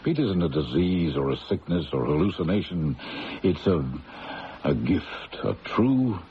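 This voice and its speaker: A grave, gently authoritative psychiatrist in a radio mystery play. His deep, creaky voice moves expressively through formal, deliberate phrases. The old broadcast sound is muffled. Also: big pitch swings, slight background noise, exaggerated voice. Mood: grave, emphatic. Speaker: actor. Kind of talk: radio mystery drama acting.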